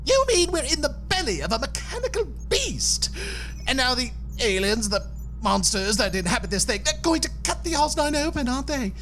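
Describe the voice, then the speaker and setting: A woman's voice: high-pitched, very animated, normal speed, a bit bright, soft background music. A woman playing a doom-laden spaceship passenger in a comic sci-fi audio drama, with theatrical, florid, dire delivery. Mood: ominous dread.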